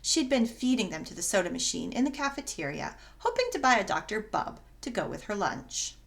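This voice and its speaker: A woman reading a children's story aloud as narrator, in an expressive, dramatic and emphatic way. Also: medium pitch, fairly quick, vocal fry. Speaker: story reader.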